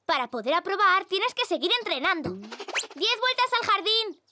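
Very high-pitched bossy little cartoon girl. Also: sing-song, normal speed, very noisy. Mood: bossy, encouraging. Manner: commanding, encouraging. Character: bossy, energetic little girl playing sports coach. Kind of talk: children's cartoon voice acting.

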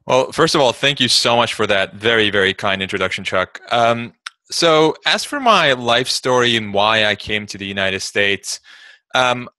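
A man from Turkey, a podcast guest answering in a measured way with frequent ums. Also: medium pitch, animated, pushed and bright, no echo. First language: Turkish. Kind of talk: casual interview answer.

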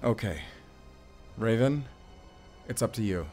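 A man's voice: fairly low pitch, lively intonation, slow pace, darkish tone. A man acting a wary, protective fighter on the run in an audio roleplay, questioning and urgent. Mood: suspicious, tense. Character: wary, suspicious fighter leading an escape, protective. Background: music.

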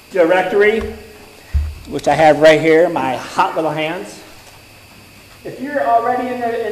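A man's voice: on the high side, slight background noise. Chatty man giving church announcements. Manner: chatty, joking, self-deprecating. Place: church sanctuary. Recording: long church reverb.

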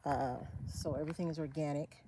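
A woman giving a relaxed, unhurried walk-through of her desert garden, with fillers and self-corrections along the way. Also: low-pitched, slight background noise, rough recording. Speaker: homesteader giving a garden tour. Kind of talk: casual walk-through narration.

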